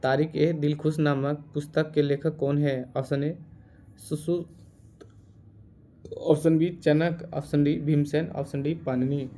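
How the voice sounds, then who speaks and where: A man teaching through exam quiz questions, reading options and repeating answers deliberately. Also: on the high side, level tone, mellow, a little hiss or hum.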